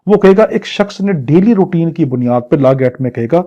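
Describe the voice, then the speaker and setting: A man's voice: on the high side, expressive, a little fast, warm tone, dull audio. A man with a Pakistani accent teaching an exam-prep law lecture, explaining step by step and posing questions that he then answers. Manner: didactic, poses questions and answers them.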